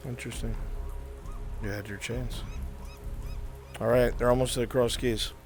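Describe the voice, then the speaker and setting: A man's voice: mid-range voice, normal speed, over music. A man working as game master, narrating and directing a live fantasy roleplay game over rain ambience. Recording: noisy, with steady rain sounds.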